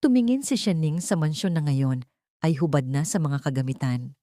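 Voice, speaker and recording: Dry, low-pitched Filipino woman narrating. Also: normal speed, dark tone, dry recording. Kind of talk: scripted dramatic audio story narration.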